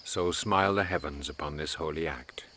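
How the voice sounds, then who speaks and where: Solemn holy man, extremely low voice, grave, verse drama, heavy background noise. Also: normal speed. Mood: solemn blessing. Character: solemn male friar giving a blessing. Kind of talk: classical Shakespearean audio drama acting.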